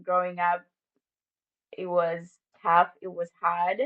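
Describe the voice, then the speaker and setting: A woman from Uganda with a Ugandan accent, a ministry staff member telling her life story in an interview, warm and faith-filled. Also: fairly low pitch, monotone, slow pace, narrow, tinny audio. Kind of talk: personal testimony in an interview.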